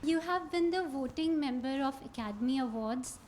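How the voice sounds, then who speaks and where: A woman's voice: high voice, moderate pace, a little mellow, a little hiss or hum. A woman putting questions in a conversational interview style.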